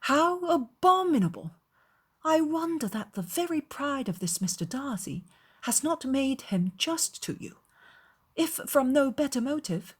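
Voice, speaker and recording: A woman voicing a spirited, easily shocked young gentlewoman in a dramatized audiobook, speaking in an emphatic, exclamatory way. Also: fairly high pitch, slightly rough, voice pushed up. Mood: outraged.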